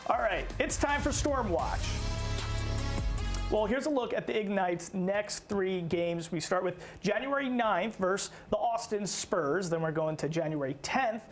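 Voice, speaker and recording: A woman's voice: medium pitch, animated, measured pace. An American woman hosting a sports show, mixing upbeat scripted presenting with casual banter. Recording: music bed under the voice.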